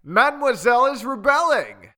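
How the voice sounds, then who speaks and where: A man voicing a cruel male rhino bully who talks in a loud, mocking, sneering way. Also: very high-pitched, sing-song, moderate pace, voice pushed up, full character voice. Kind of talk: storybook reading.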